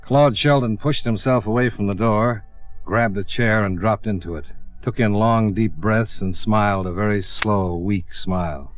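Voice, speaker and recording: An actor playing a businesslike insurance investigator in a 1950s radio drama, clipped and matter-of-fact. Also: male voice, lowish voice, a little slow, faint music behind, natural voice. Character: cool, businesslike male freelance insurance investigator. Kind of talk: 1950s radio drama acting and first-person narration. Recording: dull old radio recording.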